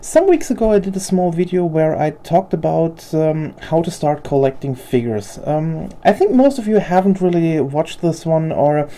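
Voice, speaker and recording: A woman's voice: low-pitched, lively intonation, normal speed, few pauses, mellow. A young woman whose first language is German, hosting a casual show-and-tell about her collection in a hesitant way, with many fillers and restarts. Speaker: figure collector video host.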